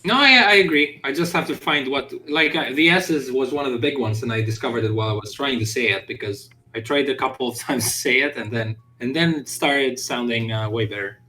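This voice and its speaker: A man's voice: medium pitch, a little fast, hardly any pauses, bright, forceful tone, soft background music, low-quality recording. A man with a Greek accent chatting casually on a game development stream, hesitant and full of fillers and restarts. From Greece. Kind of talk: casual chat on a live stream.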